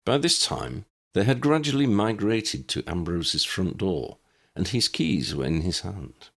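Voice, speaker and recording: A man's voice: low voice, sing-song, a little slow, gravelly, fairly bright tone. A man reading scripted story narration as an audiobook narrator.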